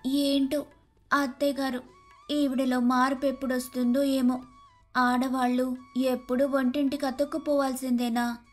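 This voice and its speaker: A woman's voice: high voice, flat delivery, moderate pace, lightly acted. A woman playing a meek, dutiful young daughter-in-law in a cartoon moral story, polite and submissive. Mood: sad, resigned. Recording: music behind the voice.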